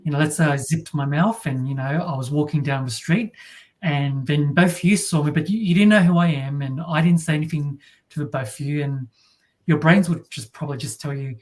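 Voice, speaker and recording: A woman from Australia with a deep voice, talking as an interview guest in a wry, halting way with many restarts. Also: a little mellow. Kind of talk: casual interview talk.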